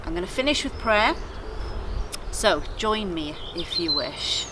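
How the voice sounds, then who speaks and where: A woman reading a devotional aloud to children in an expressive storytelling style, with deliberate, emphatic phrasing. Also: lowish voice, noisy background. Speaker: children's church story reader.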